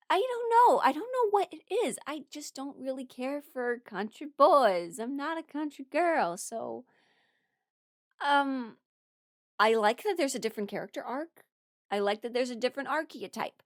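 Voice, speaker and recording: Young woman rambling her hot takes, highish voice, dry close sound, really expressive, blunt but kinda apologetic. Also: dry recording. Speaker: video creator ranking characters. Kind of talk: casual rambling opinion commentary.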